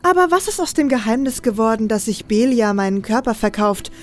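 A woman's voice: on the high side, animated, fairly quick, lightly acted. A woman playing a confident, teasing female assassin with a mocking manner in an audio drama. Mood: teasing, amused. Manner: mocking, playful, self-assured. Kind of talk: German fan audio drama acting.

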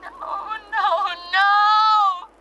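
A woman's voice: very high voice, level tone, drawn-out words, highs cut off, very noisy, exaggerated voice. A German actress playing an anxious wife in a radio drama, pleading urgently for her missing husband. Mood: distraught, crying out. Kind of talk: naturalistic radio drama acting.

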